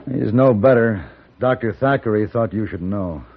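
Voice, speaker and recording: An actor playing a calm, protective, commanding hired gunfighter in an old radio western. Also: male voice, on the low side, moderate pace, lightly acted. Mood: grave. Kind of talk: 1950s radio western acting. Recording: dull, noisy old radio recording.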